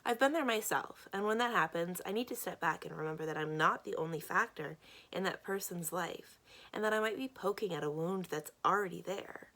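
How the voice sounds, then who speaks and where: Woman as gentle, soothing mother. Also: fairly low pitch, fairly quick. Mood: comforting. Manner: soothing, patient. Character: gentle, comforting, wise mother.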